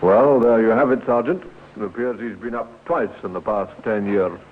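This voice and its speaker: An actor playing a calm, practical police inspector with an authoritative manner in a 1950s radio drama. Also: male voice, fairly low pitch, normal speed, lightly acted. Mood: businesslike. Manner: matter-of-fact, authoritative. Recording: tinny, noisy 1950s radio recording.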